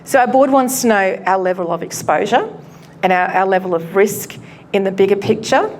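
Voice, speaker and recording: A woman pastor giving a live presentation to an audience at a launch event, loud and chatty, often laughing and poking fun at herself, her microphone cutting in and out. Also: mid-range voice, lively intonation, moderate pace, slight background noise. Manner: loud, chatty and self-mocking; laughs often while talking. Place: event venue.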